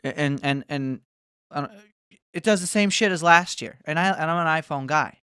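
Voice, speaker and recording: Profane young man ranting, smooth dry voice. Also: a little high, moderate pace, sharp tone, no echo. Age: 20s. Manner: profane, rambling, swears often; mocking, bragging, jumps between asides. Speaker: vlogger. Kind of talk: casual rant to camera.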